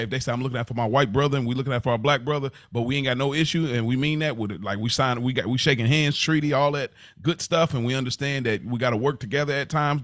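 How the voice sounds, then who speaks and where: A man from the United States talking as a podcast host, casual and slangy, thinking aloud in a halting, reflective way. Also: mid-range voice, a bit bright, no room sound, studio quality.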